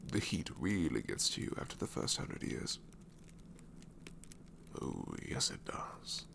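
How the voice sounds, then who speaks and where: Man voicing a very deep, gravelly ancient demon. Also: big pitch swings, slow pace, background noise, full character voice. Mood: dry, musing. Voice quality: very deep, gravelly, often breaking into whisper. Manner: wry, world-weary, reassuring. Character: ancient sassy male shadow demon, grudgingly tender. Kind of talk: ASMR roleplay monologue.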